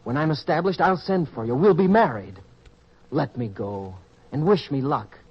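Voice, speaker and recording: An actor playing a naive, eager young traveler in old-time radio style. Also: male voice, on the high side, expressive, moderate pace, slightly rough. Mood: tender, reassuring. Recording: muffled radio-play audio, music and crowd behind.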